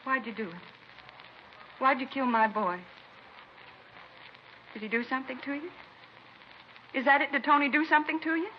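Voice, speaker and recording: A grieving, bitter mother acted by a woman in a vintage radio drama. Her high voice is insistent and pleading, full of anguish. The recording is dull, with faint noise. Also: normal speed, pauses often, close to an everyday voice. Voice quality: emotional, pleading, insistent delivery. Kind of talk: 1950s radio crime drama acting.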